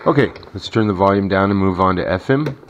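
Deep-voiced middle-aged man showing a radio. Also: brisk, lo-fi sound. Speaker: vintage radio hobbyist demonstrating a repair. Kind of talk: casual hands-on demonstration. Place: indoors in a home kitchen. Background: radio playing and static.

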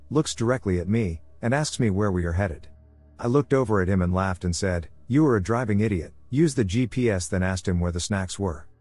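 A man narrating first-person scary stories with dry, wry asides, soft music behind him. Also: on the low side, no echo.

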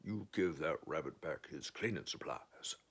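A big, just-minded storybook bear who speaks in a slow, firm drawl, as played by a man telling the story. The voice is deep, gravelly and husky. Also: lively intonation, fairly bright tone, exaggerated voice. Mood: stern. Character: big male bear with a strong sense of justice. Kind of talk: children's storytelling.

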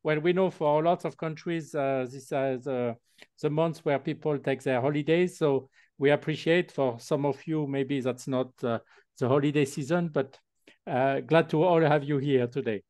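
A man's voice: on the high side, moderate pace, darkish tone, dry recording, clean studio sound. A man co-hosting an online meeting, talking with many fillers and restarts over a video call. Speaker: meeting co-host.

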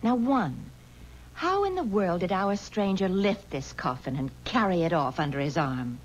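An American woman playing a spirited, skeptical and impatient character in a 1970s radio drama, expressive and challenging. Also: fairly low pitch, moderate pace, lightly acted. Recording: slightly noisy, dull 1970s radio recording.

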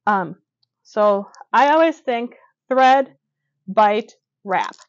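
A woman's voice: medium pitch, lively intonation, very slow, dry recording, lo-fi sound. A woman demonstrating a beaded edging stitch step by step, talking as she works with fillers and small self-corrections. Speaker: stitching instructor. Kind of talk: hands-on craft demonstration. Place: indoors at a table.

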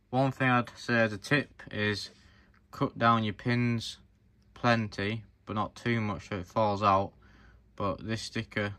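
Male hobby reviewer, low-pitched but bright and forward, casual and explanatory delivery. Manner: informal, explanatory, addressing viewers directly. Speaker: presenter of a farm model review channel. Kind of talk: casual hands-on review narration.

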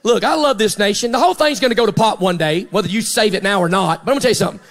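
A man's voice: high voice, rapid-fire, fairly bright tone. A man in his forties with a Southern US accent, preaching a fiery, folksy sermon as pastor on a handheld mic, moving between plain talk and emphatic declarations. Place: large church stage, indoors. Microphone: handheld microphone.

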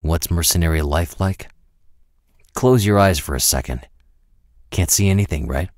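An actor voicing a weary, cynical American mercenary in a video game, with a laconic, deadpan delivery. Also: male voice, low-pitched, normal speed, no echo, clean studio sound, lightly acted. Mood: weary, cool. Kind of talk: video game character voice lines, short barks and remarks.

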